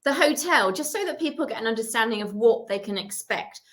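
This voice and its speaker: A woman's voice: fairly high pitch, animated, fairly quick, fairly bright tone. A woman hosting a casual video chat interview, encouraging her guest and asking questions. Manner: encouraging, asks questions, laughs readily. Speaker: host. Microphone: video call.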